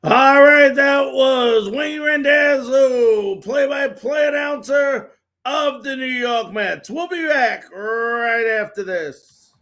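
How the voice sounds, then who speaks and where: A man's voice: extremely high pitch, slow pace, pushed and bright. A man hosting a live sports talk show, giving updates and responding to viewer comments.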